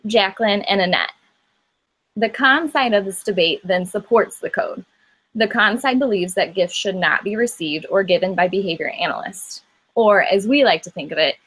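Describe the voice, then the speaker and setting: A woman from Indiana, a student moderator reading a scripted introduction to a class debate in a steady, careful way with the odd slip. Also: mid-range voice, rough recording. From Indiana, USA.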